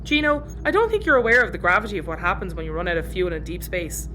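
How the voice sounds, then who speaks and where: A woman's voice: fairly low pitch, lively intonation, fast talker, bright, forceful tone, a little hiss or hum. An actress playing a sarcastic, sceptical Irish spaceship crewwoman in a sci-fi audio comedy. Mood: worried, blunt. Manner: sarcastic, blunt. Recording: music under the dialogue.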